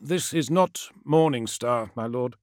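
A man's voice: mid-range voice, a little slow, no echo, studio quality, close to an everyday voice. A man voicing a loyal, sorrowful warrior son who speaks with earnest, deferential urgency and stubborn truthfulness in an audiobook. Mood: urgent insistence. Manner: urgent, earnest, deferential, projecting over a storm.

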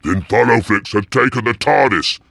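A man voicing a young woman with a deep, rumbling, bellowing voice in an audiobook. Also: normal speed, gravelly, fairly bright tone, no echo. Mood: indignant.

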